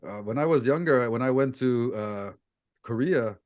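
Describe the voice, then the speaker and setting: A man's voice: mid-range voice, moderate pace, darkish tone, dull audio. A man from Egypt hosting a casual interview, talking in an opinionated, anecdotal way. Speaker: host, debate coach interviewing a guest.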